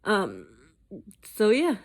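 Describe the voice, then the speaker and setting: Slow, rambling, sweary woman vlogger. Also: mid-range voice, huge pitch swings. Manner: sweary, rambling, self-mocking. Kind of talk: casual chat to camera. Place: indoors at home.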